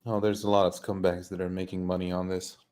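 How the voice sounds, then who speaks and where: A man on a live panel, explaining things casually in a halting, roundabout way. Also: sits low, monotone, darkish tone.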